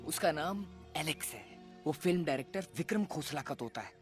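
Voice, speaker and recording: An actor playing a forgetful, eccentric uncle bird in a cartoon film, rambling and repeating himself. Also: male voice, on the high side, lively intonation, fairly quick, over music, close to an everyday voice. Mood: admiring. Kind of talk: animated film voice acting.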